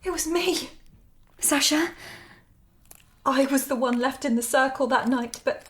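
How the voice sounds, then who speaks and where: A woman's voice: high-pitched, unhurried, fairly bright tone, a little hiss or hum. A woman playing a distressed adult sister in an audio drama, speaking in a shaken, pleading way. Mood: shaken, sorrowful. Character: distressed adult woman, estranged sister confronting family past.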